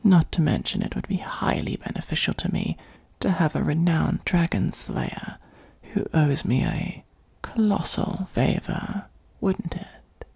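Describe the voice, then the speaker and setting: An ancient shape-shifting dragon, played by an ASMR performer, speaks slowly in a dark, mellow, breathy half-whisper, very close and dry. The phrasing is formal and wry, that of a patient, self-serving adviser in a fantasy roleplay. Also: medium pitch, animated, no echo, exaggerated voice. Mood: sly, curious.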